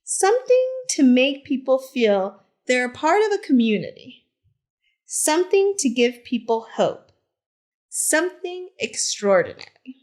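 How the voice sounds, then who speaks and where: A woman reading a picture book aloud to children as a teacher librarian, in simple, deliberate phrases with short asides. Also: high voice, very animated, a little mellow. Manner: simple, deliberate phrasing addressed to young listeners.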